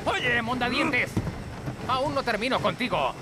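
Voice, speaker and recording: Grandiose, animated cartoon hero. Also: male voice, very high voice, a little slow, very noisy. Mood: bold, heroic. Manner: heroic, grandiose, commanding. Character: bold, proud animal hero who calls himself tiger warrior. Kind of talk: animated cartoon dub acting. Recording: loud music behind the voice.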